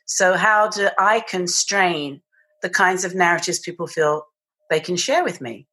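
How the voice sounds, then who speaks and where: A woman's voice: fairly low pitch, animated, unhurried, sharp tone, husky. A woman with a British accent, a guest in a podcast interview, talking reflectively and searching for her words. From England. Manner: thoughtful, searching, restarts mid-phrase.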